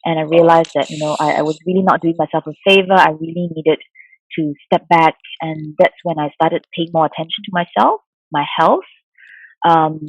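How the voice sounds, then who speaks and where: A woman from Singapore in her forties, a mindfulness coach answering interview questions in a soft, thoughtful way with many fillers. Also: low voice, fairly even intonation, moderate pace, no echo. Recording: dull lo-fi sound, dry.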